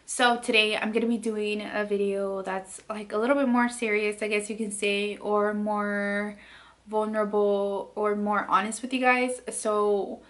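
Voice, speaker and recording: A woman in her late twenties speaks straight to the camera from her bedroom, in a soft, hesitant vlog voice full of fillers. Her pitch sits mid-range and barely moves. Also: level tone, a little slow. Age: late 20s. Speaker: beauty vlogger. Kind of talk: candid personal vlog. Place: bedroom, indoors.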